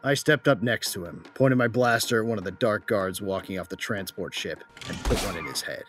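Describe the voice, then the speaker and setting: Actor as a cocky low-voiced young space soldier. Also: male voice, a little fast, a bit bright, close to an everyday voice. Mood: cocky, excited. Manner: irreverent, colloquial. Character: cocky battle-loving soldier son narrating a space battle. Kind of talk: first-person dramatic narration. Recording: music behind the voice.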